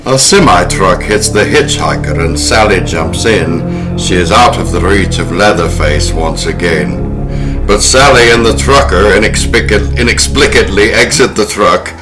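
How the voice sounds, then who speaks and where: A man's voice: deep voice, a little slow, over loud music. A man hosting a horror film podcast, speaking in a wry, sardonic way. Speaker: podcast host. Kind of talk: horror film recap and casual discussion.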